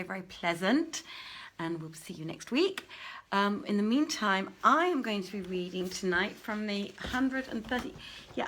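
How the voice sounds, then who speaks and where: A woman hosts an online story reading in an informal, conversational way. She chats to listeners at a middling pitch. The recording has clear noise and small clicks behind her voice. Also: fairly bright tone. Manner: chatty, conversational. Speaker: host and reader of an online story series. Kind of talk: informal livestream introduction before a reading.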